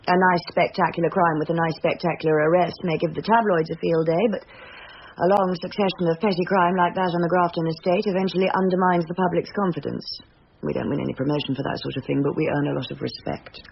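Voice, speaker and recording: An actress playing a brisk, probing British police superintendent, formal and dryly authoritative, in a radio drama. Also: female voice, on the low side, fairly even intonation, creaky. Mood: brisk, official. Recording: dull, faintly noisy radio recording.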